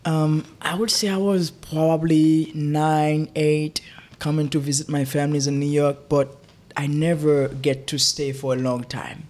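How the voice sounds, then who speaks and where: A young man from Haiti, a guest in a casual interview, who talks haltingly and often repeats words while he looks for them. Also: on the high side, little pitch movement, darkish tone.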